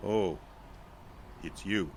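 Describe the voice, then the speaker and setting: A man's voice: low-pitched, very slow, slight background noise, full character voice. An American man playing a bitter, paranoid ex-soldier in an audio drama, curt and brooding with folksy rural grammar. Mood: curt, sardonic. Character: bitter, paranoid male ex-soldier, gruff and defensive.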